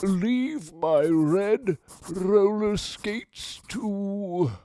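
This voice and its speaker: A man voicing a grumpy, self-pitying big bear with a cold for a children's storybook, raspy and growling, with pompous, drawn-out complaining. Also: high voice, warm tone, dry recording, voice pushed up, heavily acted. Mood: pompous gloom. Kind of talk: children's storybook read-aloud.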